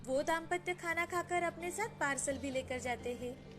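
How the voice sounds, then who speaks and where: High-pitched woman storyteller. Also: normal speed, over music. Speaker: storyteller, narrator. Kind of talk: scripted children's story narration.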